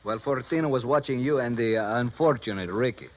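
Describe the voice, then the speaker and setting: A man's voice: medium pitch, fast, lightly acted. An actor playing a sly, amused man in a 1950s radio drama. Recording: lo-fi 1950s radio broadcast with faint hiss.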